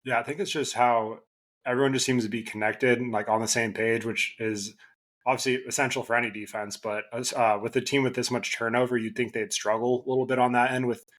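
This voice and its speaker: A man who is a guest on a basketball podcast, losing his voice and talking casually in halting bursts with many restarts. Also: mid-range voice, flat delivery, fairly bright tone.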